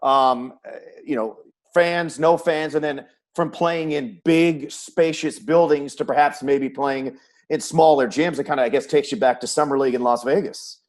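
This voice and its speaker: A man's voice: mid-range voice, fairly even intonation, moderate pace. A middle-aged American man hosting a conversational sports interview. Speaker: sports interview host, play-by-play announcer.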